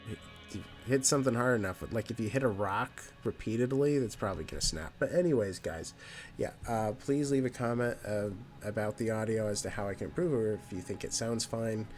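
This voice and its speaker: A man's voice: on the low side, brisk, darkish tone. A man with a nasal voice hosting a gaming channel, chatting casually and rambling with lots of fillers while he plays. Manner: rambling, with many fillers and restarts. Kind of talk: casual let's play commentary. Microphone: new microphone. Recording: game music behind the voice. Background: game music.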